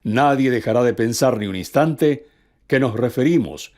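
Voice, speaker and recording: A man's voice: mid-range voice, moderate pace, darkish tone. A man reads scripted documentary narration as the narrator.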